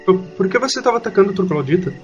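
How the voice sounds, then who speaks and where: A man's voice: high-pitched, animated, very fast, muffled recording, over music. A man playing an earnest, nervous young orphan boy adventurer in an audio fantasy storybook, speaking hesitantly. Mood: nervous, insistent.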